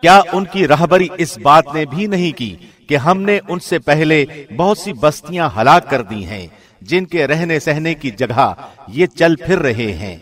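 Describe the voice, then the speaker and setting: A man reading a scripture translation from a script in a measured, formal announcer's delivery. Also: fairly high pitch, animated, fairly bright tone. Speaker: translation reader.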